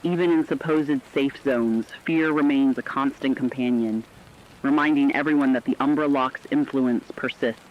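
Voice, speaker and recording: A serious radio reporter voiced by a man at an average pitch. He reads a fictional catastrophe bulletin in measured, scripted narration. The sound is dull and lo-fi, with rain behind it. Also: natural voice.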